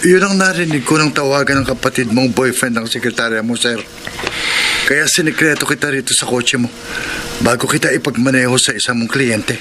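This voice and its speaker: A man's voice: mid-range voice, a little fast, noisy background, close to an everyday voice. A man acting in a Filipino radio drama as an older driver, servile to his boss and scornfully blunt to others. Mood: deferential, conspiratorial.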